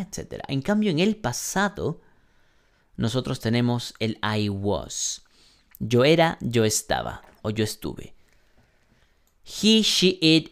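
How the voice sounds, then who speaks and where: Man explaining grammar with lots of examples. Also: mid-range voice. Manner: explains with many examples and rhetorical questions; clears his throat often. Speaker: English teacher. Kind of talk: online lesson.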